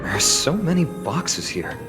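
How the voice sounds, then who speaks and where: A man's voice: medium pitch, big pitch swings, a little slow, over loud music. A man playing an easygoing, curious and sympathetic young adventurer in a video game.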